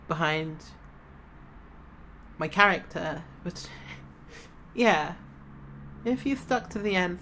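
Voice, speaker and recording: A woman's voice: low-pitched, fairly bright tone. A woman audio creator talking casually to listeners in a subdued, hesitant, sincere way, drained after crying. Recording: roomy, distant mic, faint noise.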